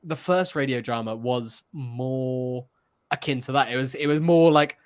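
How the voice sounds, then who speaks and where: A man's voice: mid-range voice, unhurried, smooth. A man co-hosting a casual film-fan podcast, chatting informally and slipping into mock quotes as he tells things. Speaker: podcast co-host.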